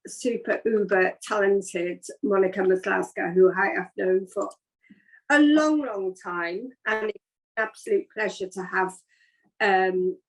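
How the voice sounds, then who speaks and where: A woman's voice: medium pitch, low-quality recording. A woman hosting a live online interview, giving upbeat, effusive introductions over a video call. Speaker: host.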